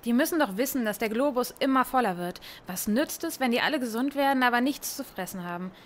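A woman's voice: on the high side, expressive, quick pace, close to an everyday voice. A woman playing a grumbling, cynical office worker in a German radio play. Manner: grumbling, dismissive. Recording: faint background noise.

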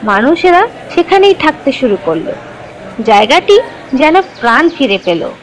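A woman's voice: high voice, big pitch swings, a little slow, poor audio. A woman storyteller giving scripted narration for children's religious cartoon stories.

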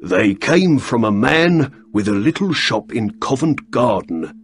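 A man's voice: mid-range voice, sing-song, measured pace, faint music behind. A man doing a chatty pub landlord.

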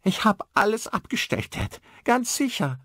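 A man's voice: high-pitched, very animated, measured pace, fairly bright tone, dry recording, studio quality, pitched above the performer's own voice, exaggerated voice. A man voicing a frightened young daughter in an audiobook, pleading urgently and anxiously. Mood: anxious, insistent. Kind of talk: audiobook character dialogue.

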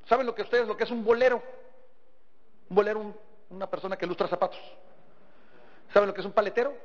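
A man's voice: high-pitched, fairly bright tone. A man with a northern Mexican accent gives a folksy motivational talk, telling stories and putting rhetorical questions to his listeners. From Sinaloa, northern Mexico. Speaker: motivational business seminar speaker. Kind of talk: motivational talk to a live audience. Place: large seminar hall before an audience. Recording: dull, echoey hall recording.

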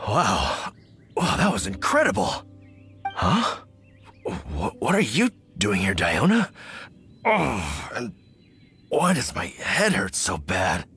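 A man's voice: fairly low pitch, wildly swooping pitch, takes it slowly, long pauses, sharp tone, breathy edge, exaggerated voice. An actor playing a tipsy, sheepish, doting middle-aged hunter father in a video game, rambling and hesitant. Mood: dazed, confused.

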